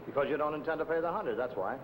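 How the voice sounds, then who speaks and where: A man's voice: rapid-fire, close to an everyday voice. A man playing a blunt, condescending investigator in a 1950 film noir, leaning hard on a debtor. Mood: accusing. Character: hard-nosed, threatening male debt-collection investigator. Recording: noisy old film soundtrack, traffic rumble.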